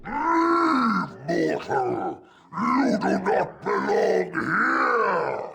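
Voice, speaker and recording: An actor playing an arrogant, menacing demon in a video game, snarling and taunting mortals imperiously. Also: male voice, sits high, big pitch swings, drawn-out words, gravelly, bright, forceful tone, a little hiss or hum, exaggerated voice. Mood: menacing, furious. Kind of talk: video game villain acting.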